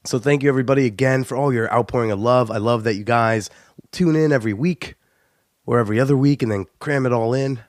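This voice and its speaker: A man's voice: mid-range voice, dry recording, clean studio sound. A young man, stuffy from a cold, hosting a casual, joking podcast intro with plenty of "uh"s. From United States. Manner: joking and chatty, with frequent filler "uh". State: stuffy nose. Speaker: podcast host.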